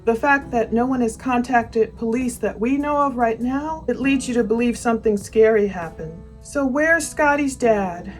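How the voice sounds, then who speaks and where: High-voiced woman doing true crime commentary. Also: moderate pace, hardly any pauses, faint music behind. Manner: conversational, opinionated, with asides. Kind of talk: casual true crime commentary, reading posts aloud.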